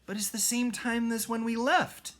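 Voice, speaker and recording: A man's voice: extremely high pitch, steady tone, normal speed, fairly bright tone, voice pushed up. A man voicing an earnest, puzzled young boy adventurer in a children's storybook, wondering and emphatic. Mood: bewildered.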